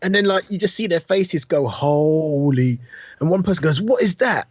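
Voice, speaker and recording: A middle-aged man chatting casually about films as a podcast co-host, telling things in an animated, slangy way. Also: on the high side, moderate pace, dry recording, dull audio.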